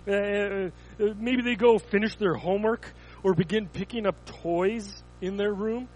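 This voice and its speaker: A man's voice: high-pitched, unhurried, a little mellow, slight background noise, low-quality recording. A man preaching a sermon, telling everyday stories as illustrations, with frequent stumbling restarts.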